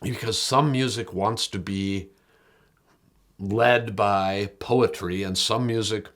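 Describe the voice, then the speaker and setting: Low-voiced man giving a considered review. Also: steady tone, slow pace, fairly bright tone. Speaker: audio magazine reviewer and host. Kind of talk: unscripted product review talk to camera.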